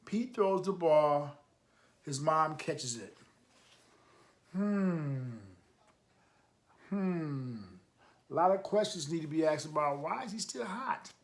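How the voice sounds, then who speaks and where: A man with a slightly high voice reads a children's picture book aloud at an unhurried pace. He is encouraging and emphatic, stepping out of the text to add remarks and questions for young listeners. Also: lively intonation. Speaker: storytime reader, coach.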